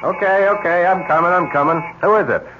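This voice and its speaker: An American actor playing a brash, impatient, scheming advertising executive in a radio drama, speaking emphatically and scornfully. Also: male voice, sits high, fairly quick, music in the background, close to an everyday voice. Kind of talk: 1970s radio drama acting. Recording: dull, noisy 1970s radio broadcast.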